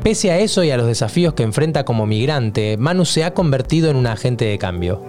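A man giving scripted podcast narration in an even, informative delivery. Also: medium pitch, brisk. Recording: faint guitar music behind.

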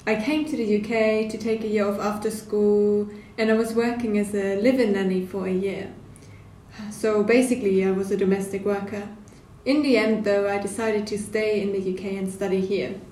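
A woman's voice: medium pitch, level tone, moderate pace, dark tone. A young woman from Germany, a student panelist giving prepared remarks in a podcast discussion. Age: young adult. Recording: echoey room, distant mic, faint noise.

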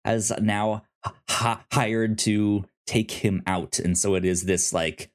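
A man co-hosting a film review podcast, chatting casually with a halting, stammering delivery. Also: lowish voice, steady tone. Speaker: podcast co-host reviewing films. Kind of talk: casual movie review chat.

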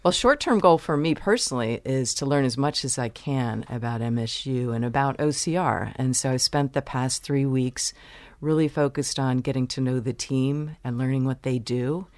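Woman guest, from the US, measured and explanatory, close dry mic. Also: a bass voice, darkish tone, dry recording. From United States. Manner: measured, explanatory, pauses to choose words. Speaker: university vice president, interview guest. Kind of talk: interview answers.

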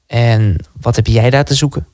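A man's voice: medium pitch, normal speed, darkish tone, dry recording, studio quality, natural voice. A man playing a worried, sceptical brother in a radio play, questioning and cautious. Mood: worried, disapproving.

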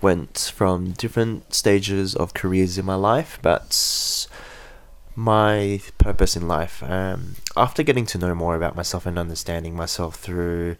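Interview guest, man, 30s, very deep voice, outspoken and frank. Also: fairly even intonation, unhurried. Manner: frank, blunt opinions. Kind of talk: casual interview chat. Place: Japan.

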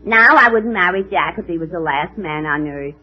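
A woman's voice: fairly low pitch, lively intonation, normal speed, slightly rough. A woman playing a gossipy, lovelorn telephone operator with a brassy comic delivery in an old radio comedy. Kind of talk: 1950s radio comedy acting. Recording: dull old radio recording, studio audience.

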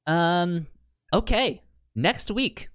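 A man's voice: high-pitched, lively intonation, very slow, a bit bright, dry recording, clean studio sound. A man co-hosting a podcast in casual, enthusiastic, nerdy chat, often stuttering and repeating sounds on words. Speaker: podcast co-host.

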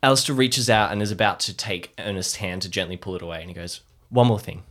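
A man's voice: low voice, quick pace, fairly bright tone. A man playing in an improvised audio drama, moving between hesitant, earnest dialogue and narrating his character's actions.

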